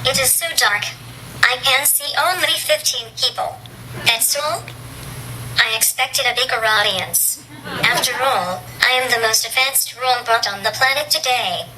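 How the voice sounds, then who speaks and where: A woman's voice: on the high side, a little slow, lightly acted. A woman's voice as a proud little humanoid robot. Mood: boastful. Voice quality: synthetic, evenly paced. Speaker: robot giving a presentation. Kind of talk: scripted synthetic speech. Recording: noisy live room.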